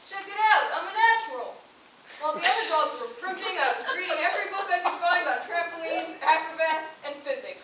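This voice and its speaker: Woman playing an eager high-voiced dog, very echoey. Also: animated, fairly quick. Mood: eager, proud. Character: odd-looking, eager young male pet dog, proudly telling his adventures. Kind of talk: first-person storytelling in a children's puppet show. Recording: echoey room, distant mic, dull, slight hiss.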